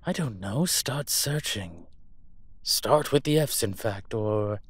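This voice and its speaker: A man playing a suave incubus demon professor in a roleplay audio drama, measured, wry and teasing. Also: lowish voice, lively intonation, breathy edge, exaggerated voice. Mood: focused, instructive.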